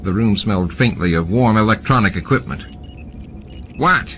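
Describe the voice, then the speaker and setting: A man's voice: lowish voice, brisk. A man narrating a scripted story as an audiobook reader. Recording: muffled, with clear background noise. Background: noise and ambient sound effects.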